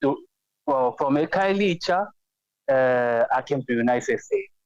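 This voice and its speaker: A Congolese-accented man calls in to a talk show, talking in halting bursts full of fillers and restarts. His line sounds dull and lo-fi, with a dry, close sound and no room around it. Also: mid-range voice, expressive, clear, resonant tone, dry recording. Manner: hesitant, many fillers and restarts. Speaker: caller. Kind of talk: phone-in call. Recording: dull lo-fi phone-in audio.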